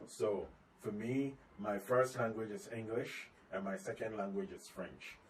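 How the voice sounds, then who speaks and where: A man with a fairly low voice gives an informal video lesson, walking through tips one by one in a steady, explanatory way and restating the main points. He sits somewhat back from the microphone, and a faint hum of room ambience runs underneath. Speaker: company CEO giving tips. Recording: slightly distant mic, faint ambient noise.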